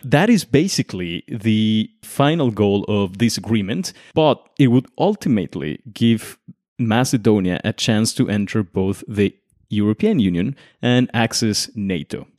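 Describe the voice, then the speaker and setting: Casting: young man from Mexico, lowish voice, dry close sound, argumentative host. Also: animated, measured pace, a little mellow, no echo. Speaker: podcast host. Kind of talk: conversational explainer.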